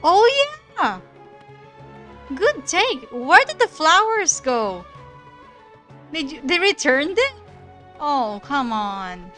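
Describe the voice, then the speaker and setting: A woman's voice: sits high, very animated, slow pace, long pauses, sharp tone, soft background music. A woman giving casual live commentary as she streams a game.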